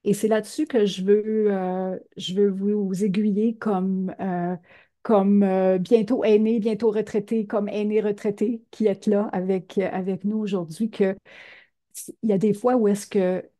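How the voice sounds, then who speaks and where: A woman's voice: mid-range voice, little pitch movement, measured pace, mellow. An older woman, giving an earnest talk to seniors with many fillers. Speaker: presenter.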